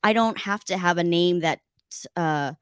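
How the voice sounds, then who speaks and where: A woman's voice: fairly low pitch, a little slow, clear, resonant tone, no room sound, clean studio sound. A woman from Hawaii on a film festival panel, talking casually about acting, with lots of fillers and frequent laughs. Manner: chatty, laughs often, many fillers. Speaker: actor on a panel.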